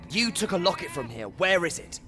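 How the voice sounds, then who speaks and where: A man's voice: sits high, sing-song, brisk. An actor playing a determined young male wizard on the run, firm and urgent in his questions. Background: game music.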